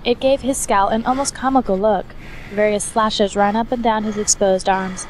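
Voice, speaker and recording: Female narrator for a hobby audio story, mid-pitched scripted read, with clear background noise. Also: normal speed. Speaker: fan fiction reader, narrator. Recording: noisy hobby recording, steady background noise.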